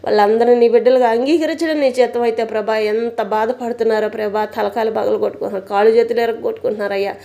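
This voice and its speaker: A woman prays aloud in a fervent, pleading stream of repeated invocations. Her voice sits somewhat high yet sounds mellow and warm. She presses on at a rapid clip, with hardly a pause between phrases. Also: fairly even intonation, creaky. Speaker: prayer leader.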